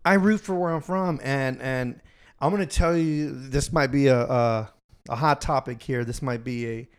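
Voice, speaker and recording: A man in his twenties, chatting casually with a friend. He swears freely and repeats words as he gets excited. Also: mid-range voice, moderate pace, clean tone. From Houston, Texas. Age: 20s. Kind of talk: casual chat.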